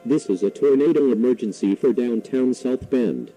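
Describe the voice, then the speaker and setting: A man's voice: medium pitch, expressive, moderate pace, mellow, soft background music, lightly acted, low-quality recording. A man voicing a formal, mechanical emergency weather alert announcer reading a scripted warning.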